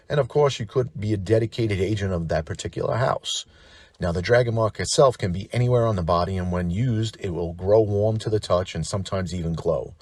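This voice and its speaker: Deep voiced guy doing fantasy game lore, bit husky, storyteller style w/ casual wry asides, dry close sound. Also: darkish tone, no echo. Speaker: tabletop gaming lore host.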